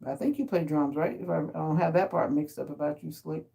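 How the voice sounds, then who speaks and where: A sleepy middle-aged woman, raspy from a sinus infection, chatting casually with viewers on a phone livestream from home and teasing them by name. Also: low voice, quick pace, mellow. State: sleepy and exhausted. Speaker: livestream host. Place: at home indoors.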